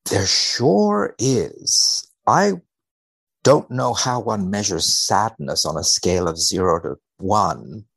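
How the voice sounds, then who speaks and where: Man, husky low voice, deadpan, measured, wry podcast guest. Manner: deadpan, measured, dry humour. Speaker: podcast guest, biomedical researcher. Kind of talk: wry conversation and dramatic reading of a research paper.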